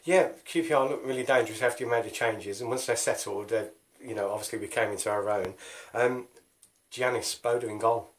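A man interviews casually after a football match. His voice sits at a medium pitch, and he speaks informally as a club interviewer. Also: a little fast.

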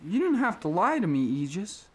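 A performer voicing a lovesick, apologetic young male suitor in an animated film. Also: medium pitch, normal speed, slightly rough. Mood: pleading.

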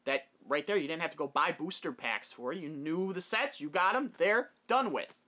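A man's voice: a little high, animated, normal speed, pushed and bright. A young man talking casually and emphatically to camera, giving his opinions as a video host in a room at home. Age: young adult. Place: small wood-paneled room at home.

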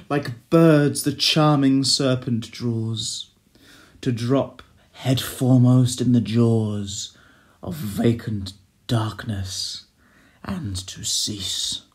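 Slow, solemn man reciting classic poetry. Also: medium pitch. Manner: solemn, measured, expressive delivery with hushed line endings. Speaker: poetry reader. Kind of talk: dramatic recitation of classic verse.

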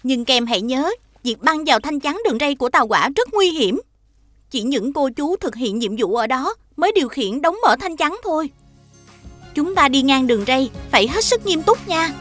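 Female cartoon teacher, high-pitched, gentle, patient, explanatory, Southern Vietnamese accent, background music. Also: expressive, normal speed, dry recording. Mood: reassuring, instructive. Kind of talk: animated children's cartoon dubbing. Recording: music behind the voice.

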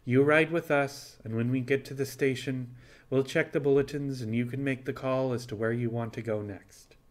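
Male narrator as animal starship captain, pirate, kindly, persuasive, frank, measured, mid-pitched, audiobook character. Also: steady tone, natural voice. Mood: understanding, reassuring.

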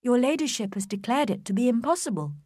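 A cool, composed young woman, voiced by a woman narrator, answers in a measured tone in a storybook reading. Her voice moves with lively inflection, and the recording is close and dry. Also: on the high side, dry recording, pitched above the performer's own voice.

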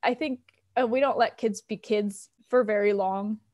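A woman's voice: mid-range voice, fairly even intonation, normal speed, a little mellow, lo-fi sound. An American woman in her thirties chatting informally as a guest in a casual video-call interview. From Colorado, United States. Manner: chatty, informal, many likes. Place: living room, indoors. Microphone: wired earbuds on a video call.

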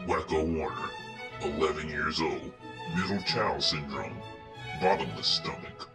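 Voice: a bass voice, unhurried. A narrator reading out scripted character profiles. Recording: music behind the voice.

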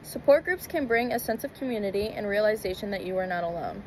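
A woman's voice: medium pitch, expressive, fast, darkish tone, a little hiss or hum, poor audio. A young woman reading her prepared part of a college class presentation. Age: young adult. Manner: reads a prepared script. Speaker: student presenter.

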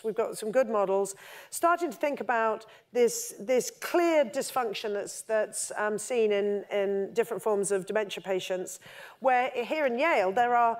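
A middle-aged or older woman giving a conference keynote as an investor, speaking semi-spontaneously with hesitant pauses and repeated words. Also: on the high side. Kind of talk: conference presentation, semi-scripted talk with slides. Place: stage at a conference, indoors.

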